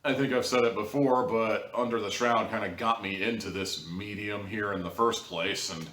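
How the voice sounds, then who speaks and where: A man's voice: on the low side, fairly even intonation, moderate pace, a bit bright. A man giving a casual, humorous review to viewers, rambling along with wry asides and mock-dramatic emphasis. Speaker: audio drama reviewer, show host. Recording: roomy echo, distant mic.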